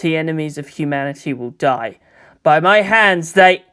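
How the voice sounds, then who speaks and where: A man's voice: fairly high pitch, animated, normal speed, sharp tone, clear, resonant tone. A man voicing a reluctant, earnest and defiant teenage boy hero in a dramatised fan fiction reading, speaking with intensity. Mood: grim resolve.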